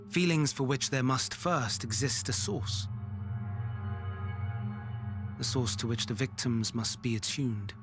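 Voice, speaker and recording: A man acting as an ancient, learned figure in a fantasy game. He explains things gravely and formally, in measured phrases at a middling pitch. Soft music plays underneath. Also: lightly acted. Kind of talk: video game cutscene acting.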